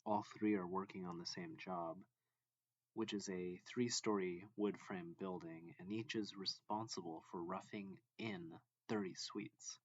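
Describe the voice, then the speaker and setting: Slow, low-voiced man reading a lesson. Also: fairly even intonation, no echo, lo-fi sound. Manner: deliberate, broken into short phrases. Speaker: math reading tutor. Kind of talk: slow read-aloud of a textbook lesson.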